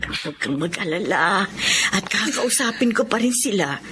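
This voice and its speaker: A woman's voice: mid-range voice, expressive, normal speed, noisy background, lightly acted. A Filipino woman acting a reassuring, homely aunt and older sister in a radio drama. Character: kindly, hopeful aunt, a consoling older sister.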